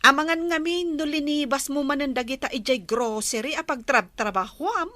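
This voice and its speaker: A woman playing a bright, bubbly village girl. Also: high voice, normal speed, dry recording. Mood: chatty.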